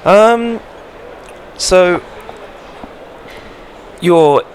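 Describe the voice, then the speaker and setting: A man's voice: high-pitched, expressive, very slow, slight background noise, low-quality recording. A man working as an interviewer, putting questions in a hesitant way.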